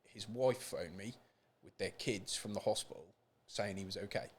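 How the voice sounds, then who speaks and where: A man with a British accent and a fairly low-pitched voice. He speaks candidly as a podcast guest, earnest and emphatic, often repeating a phrase to press it home. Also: normal speed, slight background noise. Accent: British English. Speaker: podcast guest, support group founder. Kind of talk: candid personal interview.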